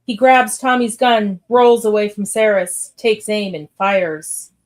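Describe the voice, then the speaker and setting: Lady reading out stage directions for a radio play, normal mid pitch, scripted narration. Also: slow.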